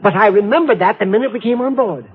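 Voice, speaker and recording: Actor playing a high-voiced, dithering rich old eccentric. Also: male voice, fast talker, natural voice. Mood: dithering, amused. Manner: dithering, chuckling, absent-minded. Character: scatterbrained, genial, wealthy eccentric old yacht owner. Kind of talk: 1950s American radio drama acting. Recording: muffled phone-like old radio recording.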